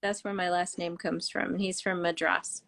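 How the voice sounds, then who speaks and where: A woman's voice: fairly low pitch, flat delivery, normal speed, gravelly, a bit bright. A woman, a doctor hosting a live online interview over a video call, bubbly and enthusiastic. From United States. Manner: bubbly, enthusiastic, laughs often. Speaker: host doctor.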